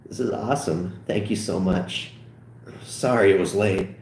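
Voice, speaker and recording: A man's voice: sits low, unhurried, a little mellow, a little hiss or hum. A man doing a woman voice for a sweet teenage girl hosting a party. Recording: roomy echo.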